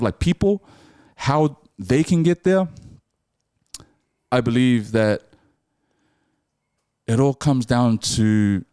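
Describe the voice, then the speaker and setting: A young man from New Zealand, a guest telling his stories in casual podcast chat, animated and laughing as he talks. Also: no echo, clean studio sound. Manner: animated, swings high for impressions and laughs. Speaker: podcast guest, film director.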